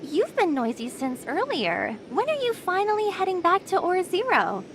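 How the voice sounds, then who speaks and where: An impatient female doctor from an anime game. Her voice is high and animated, curt and scolding, with clear noise behind it. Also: normal speed, heavily acted.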